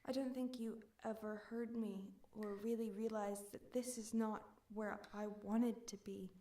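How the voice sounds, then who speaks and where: Higher-pitched woman reciting poetry. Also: fairly even intonation, unhurried, mellow. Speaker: poetry reader. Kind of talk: spoken-word poetry recital.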